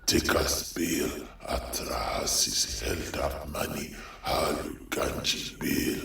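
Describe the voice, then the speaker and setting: A man playing a solemn fantasy mystic, slowly intoning a repeated ritual incantation in a ceremonial monotone, echoing from a distance. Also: rumbling low, gravelly, slight background noise, full character voice. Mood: solemn, ominous. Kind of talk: slow repeated ritual chant. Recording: cavernous echo, distant from mic.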